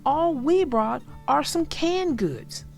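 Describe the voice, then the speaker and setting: A woman's voice: sits high, animated, slow pace, creaky, a little mellow, soft background music, voice pushed up, exaggerated voice. A woman voicing a practical, doubtful mother bear from a storybook in a matter-of-fact, objecting manner. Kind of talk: storybook character voice.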